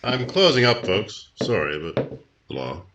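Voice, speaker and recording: Low-pitched, courteous bartender acted by a man. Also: big pitch swings, unhurried, noisy background. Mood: businesslike. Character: polite, matter-of-fact bartender closing up for the night. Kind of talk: 1950s radio drama acting.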